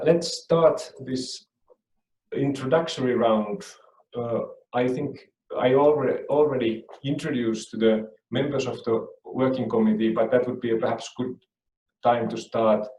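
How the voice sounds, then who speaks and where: A man's voice: medium pitch, steady tone, slow, a little mellow. A man moderating an online meeting, speaking hesitantly with many fillers. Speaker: conference moderator. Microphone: video call. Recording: echoey room, distant from the mic.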